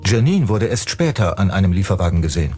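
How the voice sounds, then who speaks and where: A man's voice: low voice, big pitch swings, fast. A man narrating a true-crime documentary in a scripted style. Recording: music under the voice. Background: background music.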